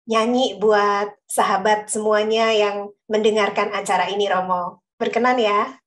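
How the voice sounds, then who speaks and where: A woman from Indonesia hosting a devotional talk show, slightly hoarse, often affirming with amen and laughing along. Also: mid-range voice, fairly even intonation, unhurried. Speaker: host.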